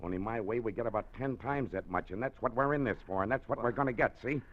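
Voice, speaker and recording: A man's voice: medium pitch, fast, natural voice. An actor playing a scheming gangster boss in a 1940s radio drama, talking in a hard, clipped tough-guy manner. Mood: menacing. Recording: dull old radio broadcast.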